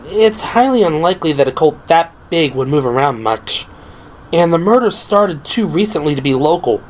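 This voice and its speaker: A man voicing a composed, earnest young woman officer in an audio drama, giving a measured, analytical briefing. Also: a little high, animated, slight background noise, pitched above the performer's own voice, close to an everyday voice.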